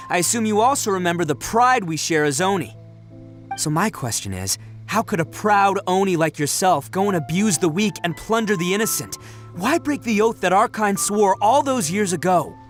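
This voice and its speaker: A man voicing a brash, good-hearted young oni gang leader in a video game, boisterous, theatrical and slangy. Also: sits high.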